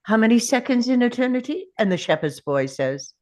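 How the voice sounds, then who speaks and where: A woman's voice: fairly high pitch, sing-song, a little fast, darkish tone. A woman playing an ancient male time traveller, weary and defiant, at a table read of a drama script.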